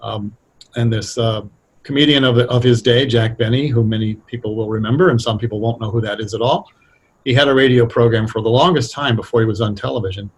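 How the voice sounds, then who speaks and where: Husky-voiced man talking casually. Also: mid-range voice, fairly even intonation, brisk, low-quality recording. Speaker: radio drama writer, interview guest. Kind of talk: casual explanatory interview talk.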